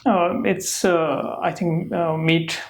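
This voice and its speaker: A young adult from Pakistan, whose first language is Urdu, answers exam questions in Pakistani-accented English. The replies come haltingly, padded with uh and um and built from simple phrasing, at a middling pitch. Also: flat delivery. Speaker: test candidate.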